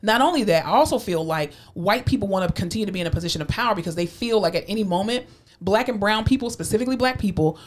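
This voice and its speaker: A woman co-hosting a casual podcast discussion, emphatic and opinionated, building to impassioned appeals. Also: mid-range voice. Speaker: podcast co-host.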